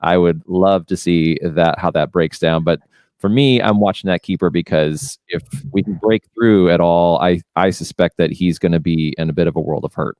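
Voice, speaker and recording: A man hosting a podcast panel in a relaxed, conversational way. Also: deep voice, normal speed, dry recording. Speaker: podcast host.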